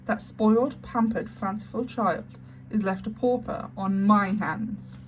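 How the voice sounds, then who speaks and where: A woman's voice: mid-range voice, measured pace, lo-fi sound, slight background noise, lightly acted. A woman doing a cold, stern headmistress. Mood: harsh. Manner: harsh, clipped commands.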